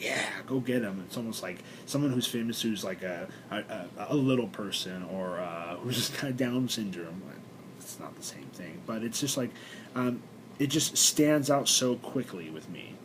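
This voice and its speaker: A young man with a congested, stuffed-up voice, talking haltingly in a personal video diary with many fillers and restarts. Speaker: vlogger. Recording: noisy recording with low rumble.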